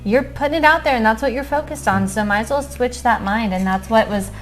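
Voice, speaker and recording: A woman's voice: on the high side, fairly quick, fairly bright tone, soft background music. A middle-aged woman co-hosting a casual vlog chat, talking with enthusiasm and supportive agreement. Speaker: co-host of a couple's book-review vlog.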